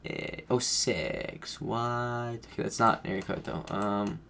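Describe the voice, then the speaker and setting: Man, deep voice, casual gaming commentary, rambling self-corrections, slightly under the weather, faint typing behind. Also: fairly even intonation, takes it slowly, creaky, fairly bright tone, a little hiss or hum. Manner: rambling, self-correcting, thinking aloud while guessing. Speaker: gaming streamer.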